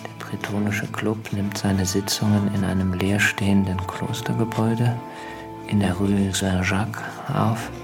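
A man reading scripted radio drama narration deliberately, pausing between short phrases. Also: on the low side, level tone, gravelly, music in the background.

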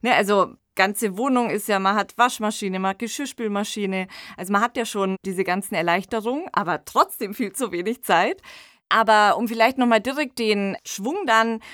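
A woman's voice: medium pitch, a bit bright, no room sound, clean studio sound. A woman co-hosting an analytical discussion, explaining reflectively in a conversational way and often tagging phrases with "ne". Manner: explanatory, reflective, frequent "ne" tags. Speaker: podcast co-host and expert commentator.

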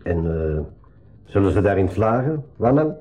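A man's voice: sits low, sing-song, moderate pace, warm tone. A man playing a plain-spoken submarine crew member in a radio drama, direct and practical. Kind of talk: 1980s school radio drama acting.

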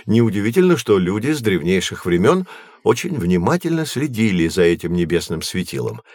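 An actor playing a learned, patient astronomy professor who explains things in a measured, didactic way in an educational audio drama. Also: male voice, on the low side, no echo, clean studio sound. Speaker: teacher.

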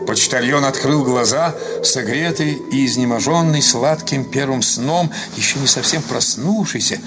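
A male narrator reads literary fiction for a radio production. His mid-pitched voice is measured and expressive in its storytelling, and music plays loudly underneath throughout. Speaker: narrator, actor reading a short story.

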